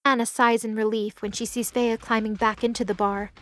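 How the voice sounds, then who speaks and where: A woman's voice: a little high, level tone, brisk, fairly bright tone, no echo, studio quality. A woman reading scripted third-person narration for an audio drama. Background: music under the voice.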